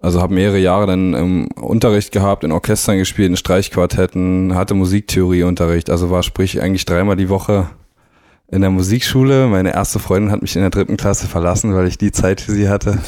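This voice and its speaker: A man's voice: low-pitched, brisk, dry recording, studio quality. A young man from East Berlin who grew up in Brandenburg, a drummer chatting as a radio guest with easy laughs. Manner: relaxed, laughs often, anecdotal. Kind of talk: casual radio chat.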